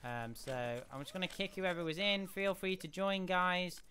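Chatty young gaming streamer. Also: male voice, high voice, fairly even intonation, moderate pace, bright, forceful tone. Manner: chatty, rambling, often restarts and hums. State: slightly croaky voice. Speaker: gaming livestream host. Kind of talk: casual live commentary. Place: home room. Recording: audible background noise.

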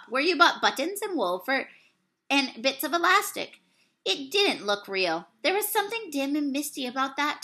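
Young female teacher, webcam read-aloud at home; lively, bright storyteller who drops to a hush for suspense. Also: fairly high pitch. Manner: expressive storytelling, swells and hushes for suspense; apologizes for slips and losing her place. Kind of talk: children's book read-aloud. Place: small room at home, plain wall behind. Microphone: webcam at desk distance.

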